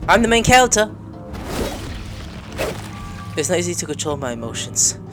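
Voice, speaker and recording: Excitable gamer with wildly swinging pitch. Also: normal speed, over music. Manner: excitable, exclamatory, mutters to self. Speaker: gameplay video host. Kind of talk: let's-play commentary, reacting and reading dialogue aloud.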